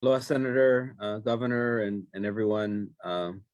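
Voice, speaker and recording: A hoarse man, a county managing director giving hesitant remarks at a virtual town hall. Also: on the low side, normal speed, highs cut off. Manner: hesitant, repeats words.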